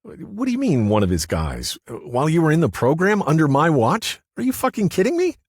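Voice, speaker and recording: A man voicing a blunt, quick-to-anger lawman who swears freely. His voice is raised, husky and very close, with lively swings in pitch. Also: no room sound. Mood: furious. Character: blunt, hot-tempered male marshal, gruff.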